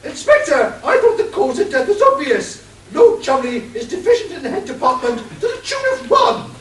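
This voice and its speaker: A man plays a haughty, scatterbrained lady detective in a comic radio play. The voice is very high and wildly animated, heard at a distance in an echoey room. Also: brisk. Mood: haughty, dismissive. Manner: pompous, scatterbrained. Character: titled idiot lady detective, haughty and silly. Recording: echoey room, distant mic, faint noise.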